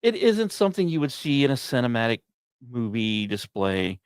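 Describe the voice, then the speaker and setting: A man hosting a science podcast, reading scripted narration in a measured storytelling way with deliberate pauses. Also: medium pitch, big pitch swings, clean tone, dry recording. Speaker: podcast host.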